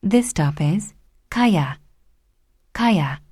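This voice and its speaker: Woman announcer for recorded subway stops; unhurried, mellow, formal, dry booth sound. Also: on the low side, animated, no room sound. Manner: slow, clear, formal.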